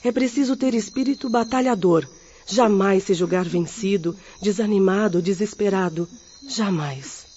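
A woman's voice: medium pitch, normal speed, a little mellow, a little hiss or hum, lightly acted. A woman playing a compassionate but firm middle-aged spirit medium in a radio drama, earnestly consoling and exhorting. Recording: muffled, lo-fi radio play audio.

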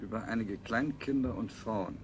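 A man's voice: on the low side, normal speed, a little hiss or hum, close to an everyday voice. A man playing a proud, sardonic scientist-king in a 1970s German radio play, speaking in a declamatory way. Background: music.

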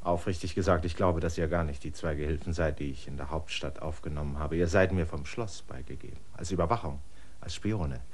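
A man's voice: a bass voice, brisk, a little mellow, slightly rough. An actor playing a weary, suspicious land surveyor who gives his assistants firm orders in a radio play. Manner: tired, suspicious and commanding. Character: weary stranger, a land surveyor, middle-aged man. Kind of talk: classic German radio drama acting.

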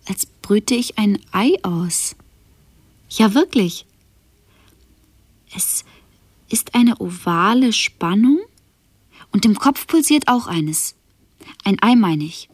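A woman's voice: mid-range voice, breathy edge, dry recording. An actress playing a frail, queasy, dreamy woman in a radio drama, speaking hesitantly and breathily. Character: frail, queasy, dreamy woman companion full of odd sensations. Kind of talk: 1970s German radio drama acting.

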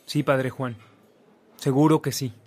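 A man's voice: mid-range voice, steady tone, unhurried, a little mellow, a little hiss or hum, lightly acted. A young man playing an earnest, reflective student who reads a story aloud in a radio drama.

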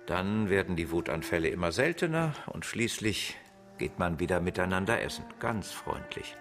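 An actor playing a proud, bitter, erudite betrayed husband in a German radio crime drama, articulate and disdainful, with a husky voice. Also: male voice, deep voice, expressive, moderate pace, over music. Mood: bitter, resigned. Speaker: actor playing a murder suspect.

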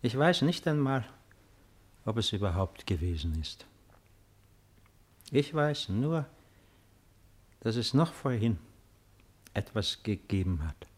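An ancient emperor in a 1950s German radio play. The voice is lowish and very slow, solemn and weary, weighing each phrase with proud, brooding gravity. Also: male voice, sing-song, breathy edge, exaggerated voice. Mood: stern, brooding. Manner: slow, grave, measured declamation.

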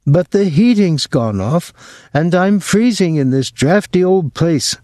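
A fretful, complaining old woman, put on by a male reader, her voice climbing high and whining. Every grievance swings through a lively, sing-song pitch. The sound is dry and close. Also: a little slow, no room sound. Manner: shrill, whining, melodramatic. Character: fretful complaining elderly mother, older woman.

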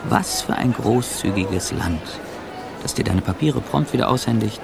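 An actor playing an anxious, sardonic traveller in a radio drama monologue, speaking deliberately and ironically. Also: male voice, fairly low pitch, expressive, gravelly, a crowd in the background, noisy background. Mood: dry, ironic. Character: anxious, sardonic male traveller narrating his own ordeal.

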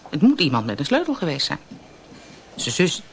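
Female detective, sardonic, brusque, animated intonation, naturalistic radio play. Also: deep voice, normal speed, gravelly, a little hiss or hum, exaggerated voice. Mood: irritated, brisk. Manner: dry, sarcastic, blunt.

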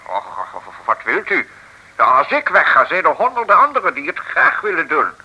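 An actor playing a bombastic, cowardly mayor with a husky voice in an old radio comedy serial. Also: male voice, fairly high pitch, wildly swooping pitch, moderate pace, bright, forceful tone, lo-fi sound, exaggerated voice. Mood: eager, smug. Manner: bombastic, blustering. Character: pompous, cowardly, opportunistic male mayor.

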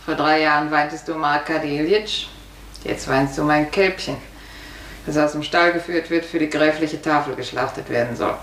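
An actress playing a world-weary, hard-drinking older aunt, wry and teasing, in a 1950s radio drama. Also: female voice, low voice, steady tone, normal speed, fairly bright tone. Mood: weary, bitter. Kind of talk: 1950s German radio play acting. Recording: roomy, hissy 1950s radio recording.

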